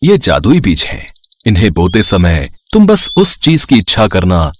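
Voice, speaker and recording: A man's voice: sits low, huge pitch swings, unhurried, a little hiss or hum, full character voice. A man voicing a kindly old holy man in a moral story, reassuring and fatherly. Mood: calm, reassuring. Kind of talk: Hindi moral story voice acting.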